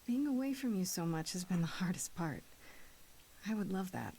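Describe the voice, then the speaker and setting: An actress playing an earnest, modest young woman in a video game, with a husky, breathy voice. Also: fairly low pitch, sing-song, normal speed, dark tone, exaggerated voice. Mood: tender, modest.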